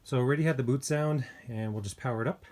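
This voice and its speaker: A man giving a casual hands-on gadget review, speaking informally and hesitantly with pauses mid-thought. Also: mid-range voice, darkish tone. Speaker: product reviewer. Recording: clear background noise with clicks.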